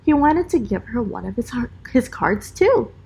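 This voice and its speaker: Woman, higher register, teacher-style storybook read-aloud, expressive, with encouraging asides for little kids. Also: normal speed, gravelly, slightly rough. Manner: animated, encouraging asides to young listeners. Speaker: special education teacher reading aloud.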